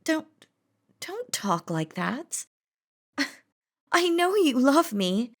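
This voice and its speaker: Casting: female performer as feared demon queen, higher-pitched, unhurried, possessive and lovestruck, imperious delivery for romantic audio drama. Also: sing-song, exaggerated voice. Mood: pleading, lovestruck. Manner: imperious, dramatic, threatening yet doting. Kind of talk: scripted romantic audio roleplay.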